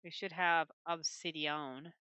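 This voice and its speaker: Bright-voiced woman rambling through a game. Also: sits low, fairly even intonation, slow, clean tone, dry recording. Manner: rambling, talks to herself while playing. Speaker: gaming channel host. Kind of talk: casual let's-play commentary. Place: her room at home. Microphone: desk microphone.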